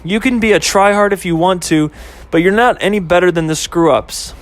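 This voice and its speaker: A man's voice: sits high, a little fast, voice pushed up, lightly acted. A man voicing a young woman, a flippant girlfriend who scoffs and fires off rhetorical questions. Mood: scoffing. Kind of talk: amateur audio story acting.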